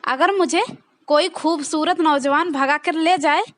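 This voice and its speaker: A woman's voice: high-pitched, measured pace, heavy background noise. A woman playing a sassy, teasing young wife with a pert, challenging manner in a comedy skit. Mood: needling.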